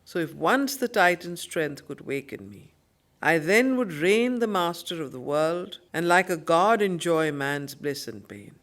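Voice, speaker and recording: A woman teacher at a study circle, reciting poetry solemnly and explaining it with deliberate, emphatic phrasing. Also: lowish voice, expressive, a bit bright. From India.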